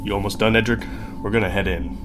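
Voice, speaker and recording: A man's voice: on the low side, steady tone, quick pace, over music, close to an everyday voice. An actor playing a practical young male adventurer in a fantasy audio drama, casual and direct. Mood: serious.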